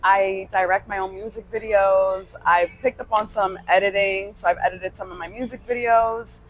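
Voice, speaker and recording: A woman's voice: medium pitch, moderate pace, a little hiss or hum, poor audio. Young woman telling her story. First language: Spanish. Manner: relaxed storytelling, laughs easily. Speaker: guest musician. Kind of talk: casual interview talk.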